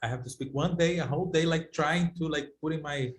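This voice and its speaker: A man's voice: a little high, a little fast. A man from Brazil whose first language is Portuguese, speaking English on a video call and searching for words, often adding "yeah". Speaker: guest. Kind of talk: casual discussion on a video call.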